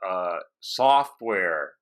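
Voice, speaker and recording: A man's voice: sits low, animated, drawn-out words, smooth. A man hosting a casual interview, chatting and asking questions. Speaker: interviewer, show host.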